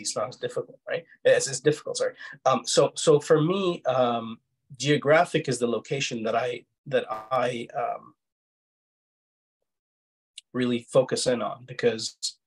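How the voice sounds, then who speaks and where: A man's voice: mid-range voice, rough recording. A middle-aged man with a slight foreign accent giving reflective interview answers over a video call, explaining himself hesitantly and often rephrasing. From Egypt, Kuwait and Canada. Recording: husky-sounding video call audio.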